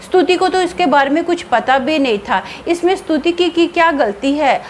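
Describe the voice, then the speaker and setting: Woman doing a young husband begging a ghost, earnest, a bit high. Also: expressive, normal speed, a little hiss or hum. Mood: pleading. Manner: earnest, pleading.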